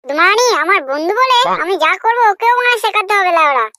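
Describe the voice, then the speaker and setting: A man voicing a cocky, foul-mouthed young womanizer in a comic cartoon, with a pitched-up voice and a brash, bossy manner. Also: animated, fast, very noisy. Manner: brash, bossy, swearing constantly. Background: loud jingly children's music.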